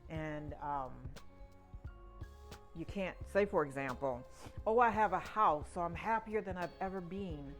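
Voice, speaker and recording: A woman's voice: on the low side, a little slow, faint music behind. A woman in her sixties sharing personal reflections in conversation, emphatic and repeating words for stress. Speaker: podcast guest, the host's mother.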